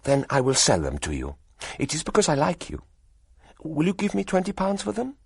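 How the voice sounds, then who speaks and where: A man's voice: on the high side, huge pitch swings, brisk, vocal fry, husky, dry recording, studio quality, pitched above the performer's own voice, heavily acted. A man voicing a middle-aged foreign wife who coaxes a buyer in stiff, formal phrasing. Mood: coaxing. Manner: coaxing, formal stilted phrasing.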